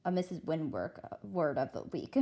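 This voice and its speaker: A woman narrating a children's novel aloud in an expressive, dramatic style, now and then stumbling over words. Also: low-pitched, normal speed, creaky. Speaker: read-aloud host.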